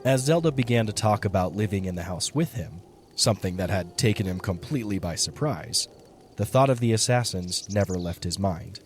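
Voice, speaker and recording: Low-voiced male story narrator over music. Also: lively intonation, a little fast. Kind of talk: scripted dramatic story narration. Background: music behind the voice.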